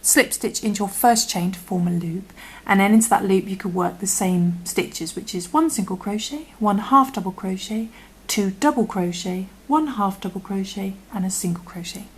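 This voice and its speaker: A woman with a croaky voice, patiently talking through a crochet tutorial and often dropping to a half-whisper as she works. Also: mid-range voice, normal speed. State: croaky from illness. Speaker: crochet instructor. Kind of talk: step-by-step tutorial.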